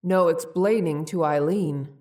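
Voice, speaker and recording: Creepy deep slow ghost thing, blunt broken sentences, menacing, audio drama. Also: female voice, a little mellow, distant mic. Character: menacing ghostly creature hungry to hunt and sacrifice.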